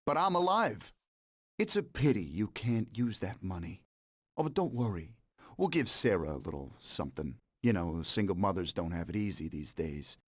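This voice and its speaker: Smug, swooping mobster. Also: male voice, low voice, very animated, normal speed, no room sound, clean studio sound. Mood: coldly sardonic. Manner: mocking, sardonic, menacing. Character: smug, cold-blooded mobster betraying his old partner. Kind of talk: dramatic video game cutscene acting.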